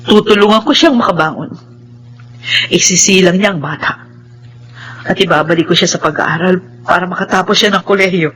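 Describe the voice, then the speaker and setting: A woman's voice: on the low side, fairly quick, long pauses, fairly bright tone, slight background noise, close to an everyday voice. An actress playing a forgiving, hardworking middle-aged mother in a Filipino radio drama, earnest and motherly. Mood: earnest, tender.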